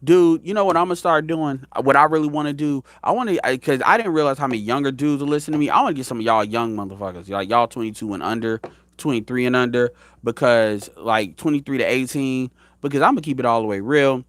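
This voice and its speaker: A man's voice: mid-range voice, quick pace, fairly bright tone, no echo. A man in his thirties giving blunt, profane opinion commentary as a show host, rambling with frequent restarts. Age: 30s.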